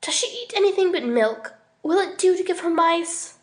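A woman's voice: extremely high pitch, animated, moderate pace, voice pushed up. A woman voicing a peevish, fretful young woman in a storybook, with a querulous, protesting manner. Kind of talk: audiobook character dialogue.

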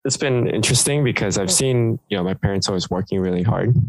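A deep, gravelly young man talks in a loose, rambling way as a guest on an interview podcast. He is Korean-born and often restarts his sentences. The sound is dry and close. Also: fast talker, a little mellow, dry recording, low-quality recording. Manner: rambling, full of fillers and restarts. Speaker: guest entrepreneur. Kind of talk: casual interview talk.

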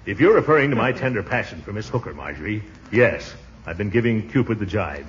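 A man's voice: fairly low pitch, big pitch swings, fairly quick, dull audio. An actor playing a stout, middle-aged businessman, a pompous, flustered and sentimental suitor who blusters and sputters, in 1940s radio comedy style. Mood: smug. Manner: blustering, sputtering, pompous, florid when courting. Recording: noisy, band-limited vintage radio recording.

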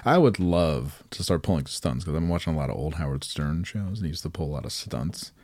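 A man's voice: extremely low pitch, expressive, fairly quick, darkish tone, dry recording. A man co-hosting a podcast in casual chat, joking, laughing often and swearing. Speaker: podcast co-host.

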